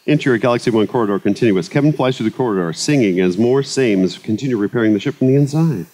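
A man's voice: mid-range voice, fast, mellow. A man narrating scripted stage directions at a live comedy table read.

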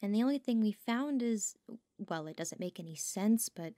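A woman's voice: mid-range voice, expressive, normal speed, mellow, dry recording, clean studio sound, lightly acted. A woman playing a curious, bookish young researcher in an audio drama, speaking thoughtfully and conversationally. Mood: puzzled, uneasy. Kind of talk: audio roleplay drama acting.

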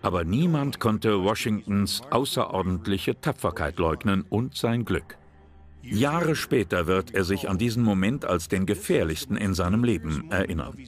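Deep-voiced male documentary narrator. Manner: calm, measured storytelling. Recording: voice-over with music bed.

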